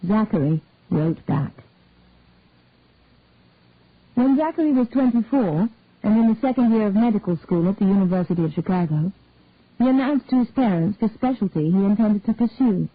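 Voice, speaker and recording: A woman reads a detective story aloud at a measured storytelling pace, her voice slightly husky and mid-pitched. The recording is narrow and phone-like, and dry with no room sound. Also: expressive, no echo. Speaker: narrator. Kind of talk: scripted story narration.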